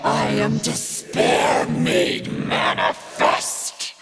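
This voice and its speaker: A woman playing a demon-corrupted woman in a video game, chanting darkly with a gravelly, hissing voice and theatrical menace. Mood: menacing. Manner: slow, incantatory, swelling from whisper to shout. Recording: music under the voice. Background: music.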